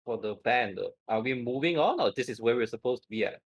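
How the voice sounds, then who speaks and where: A man speaks as a player in a tabletop game. His voice is husky and medium in pitch, recorded dry, and he discusses things in a casual manner. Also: lively intonation, quick pace, dry recording.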